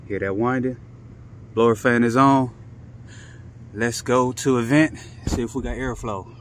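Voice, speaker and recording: A man's voice: mid-range voice, steady tone, unhurried. A man talking casually and in slang to viewers as a handyman explaining a home repair, recorded on a handheld phone indoors. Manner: colloquial slang, informal catchphrases. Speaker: handyman vlogger and HVAC technician. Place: indoors at home beside air-conditioning equipment. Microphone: handheld phone behind the camera. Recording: noisy handheld phone audio with clicks.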